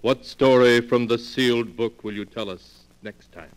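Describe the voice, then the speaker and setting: Medium-pitched male 1940s radio announcer. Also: fairly even intonation, smooth, rough recording. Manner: formal, measured, dramatic.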